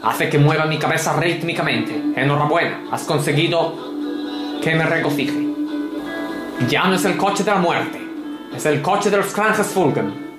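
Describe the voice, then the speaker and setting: A man's voice: a little high, normal speed, echoey room, over loud music, rough recording. A man, giving sardonic, grumbling, mock-pompous gameplay commentary from a home room. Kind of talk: sarcastic ranting game commentary.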